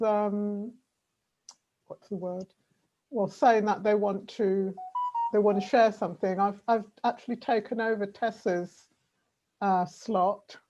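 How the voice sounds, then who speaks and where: A woman's voice: medium pitch, warm tone. Woman facilitating on a muffled call. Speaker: meeting facilitator. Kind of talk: online meeting facilitation.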